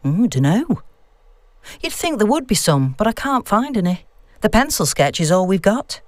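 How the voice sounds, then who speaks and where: A woman's voice: medium pitch, very animated, moderate pace, no echo, voice pushed up, natural voice. A woman voicing a knowing, unflustered female academic who tells spooky tales in an audiobook story. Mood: matter-of-fact. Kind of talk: audiobook dialogue.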